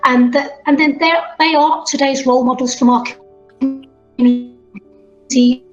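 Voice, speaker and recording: A woman's voice: sits high, steady tone, unhurried, lo-fi sound, faint music behind. A woman presenting an interview, thanking her guest and summing up, with many repeated "you know" fillers. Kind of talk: interview host.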